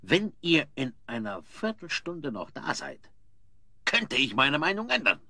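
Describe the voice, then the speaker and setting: An actor playing an indignant, pompous, middle-aged wealthy father in a German audio drama, blustering theatrically and haltingly. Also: a little high, big pitch swings, sharp tone, no echo, exaggerated voice. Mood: grudging.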